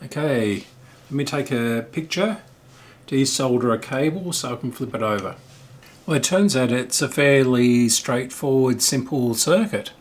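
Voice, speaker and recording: Man, middle-aged, slow unhurried pace, mid pitch, hesitant, thinking aloud, casual hobbyist repair commentary. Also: expressive, slight background noise. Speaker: electronics repair hobbyist and video host.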